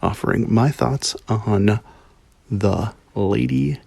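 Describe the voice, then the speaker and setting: A man from Minnesota giving a casual spoken review in a halting, hesitant way, in broken phrases. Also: lowish voice, gravelly, a little mellow, no room sound. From Minnesota, United States. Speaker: listener sending in episode feedback.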